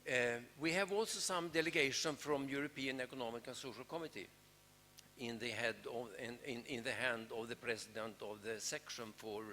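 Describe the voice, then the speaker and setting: A man moderating a conference opening and welcoming guests, halting often with fillers as he searches for names. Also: medium pitch, pushed and bright, a little hiss or hum. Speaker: event moderator. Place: large conference hall. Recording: long hall reverb.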